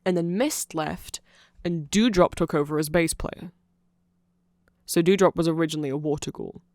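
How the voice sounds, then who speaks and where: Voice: mid-range voice, moderate pace, darkish tone, no room sound, clean studio sound. A person giving a casual, rambling ASMR explainer in a nerdy, chatty, self-correcting way, like an enthusiastic fan talking to the listener. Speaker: host explaining band lore to the listener.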